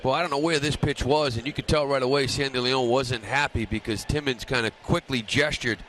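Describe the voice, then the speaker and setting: A man's voice: mid-range voice, steady tone, a little fast, a bit bright. An American man giving conversational color analysis during a live radio baseball broadcast. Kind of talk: live sports commentary, conversational. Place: ballpark broadcast booth. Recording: live stadium broadcast, ambient noise.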